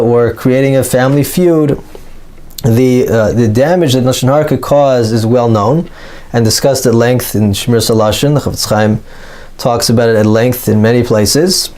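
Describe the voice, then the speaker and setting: A man's voice: medium pitch, a little mellow. A man teaching Talmud in an explanatory lecture, working through source texts with rhetorical questions and Hebrew and Aramaic terms mixed into his English.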